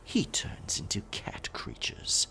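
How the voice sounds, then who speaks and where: A man playing a dominant, predatory werecat pirate captain with a stage pirate accent, telling a scary story in a theatrical, gravelly ASMR roleplay style. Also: sharp tone. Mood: sinister, teasing. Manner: slow, theatrical, breathy whispering that swells into growled taunts.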